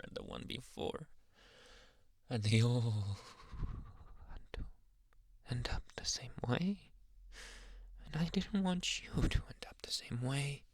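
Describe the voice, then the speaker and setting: A man playing a jealous, obsessively loving anime boyfriend in an ASMR roleplay, breathy and often whispering, slow and menacingly tender, close to the microphone. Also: medium pitch, dry recording, lightly acted. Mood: disappointed, menacing, then wounded, pleading. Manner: slow, intimate, menacingly tender, lingering on words. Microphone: very close, dry ASMR microphone.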